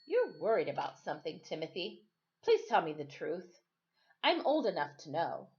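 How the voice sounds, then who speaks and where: A woman's voice: on the low side, big pitch swings, moderate pace, a little mellow, muffled recording. A woman voicing a frightened, demanding young castaway boy in a storybook reading, with plaintive, insistent delivery. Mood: pleading. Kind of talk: storybook read-aloud.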